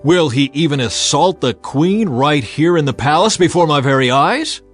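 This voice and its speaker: A man voicing an angry, commanding king in a dramatized Bible reading, declaiming forcefully. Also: a little high, expressive, normal speed, soft background music, close to an everyday voice. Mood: outraged.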